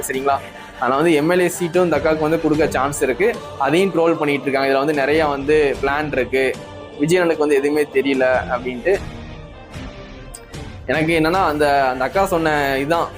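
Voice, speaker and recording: A man giving casual, conversational commentary, circling back over points and often adding tag questions. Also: fairly high pitch. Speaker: online commentator.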